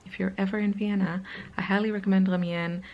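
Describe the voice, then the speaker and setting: A woman's voice: medium pitch, little pitch movement, fast, muffled recording. A woman in her thirties with a slight Israeli accent, chatting casually in a vlog from her hotel room, rambling with lots of fillers and sometimes dropping to a whisper. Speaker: art vlogger.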